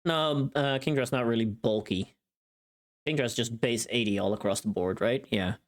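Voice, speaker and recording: A streamer with a medium-pitched voice chats casually, rambling and thinking aloud. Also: normal speed. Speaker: live streamer. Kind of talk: casual livestream chat.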